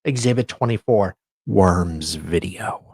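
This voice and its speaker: A man's voice: deep voice, takes it slowly, a little mellow, slightly rough, no room sound, clean studio sound. A man co-hosting a show, reading legal filings dramatically with sardonic, mock-theatrical asides. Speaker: co-host doing a dramatic reading.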